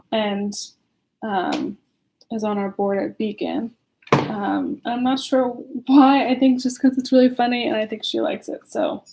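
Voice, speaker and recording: A woman's voice: on the high side, gravelly, a little mellow. A woman casually reading a children's story at home in a chatty, giggly manner, often breaking off to talk to her listeners.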